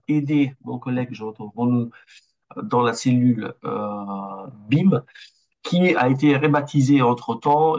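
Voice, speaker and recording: A man in his forties from Italy with an Italian accent, a bit ill, presenting a webinar as a consultant and speaking haltingly with many hesitations. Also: mid-range voice, darkish tone, lo-fi sound. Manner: halting, with frequent hesitations and restarts. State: slightly ill.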